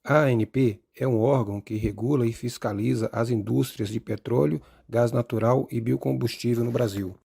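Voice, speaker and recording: A man's voice: medium pitch, fairly even intonation, moderate pace, vocal fry, hardly any pauses, warm tone, slightly rough. A man with a Brazilian accent playing a formal, informative male authority figure in a scripted educational cartoon.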